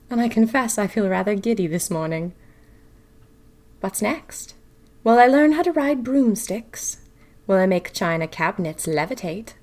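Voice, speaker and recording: A woman's voice: mid-range voice, darkish tone, close to an everyday voice. A woman playing a theatrical, wry young woman of the 1920s confiding anxiously in her diary for an audio drama. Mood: giddy, wry. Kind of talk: first-person audio drama diary narration.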